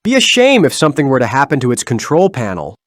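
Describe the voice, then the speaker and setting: A man's voice: mid-range voice, wildly swooping pitch, fast talker, no echo, clean studio sound. A man voicing a cocky, wisecracking young superhero in a video game. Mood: playful, impressed. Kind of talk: video game hero voice acting.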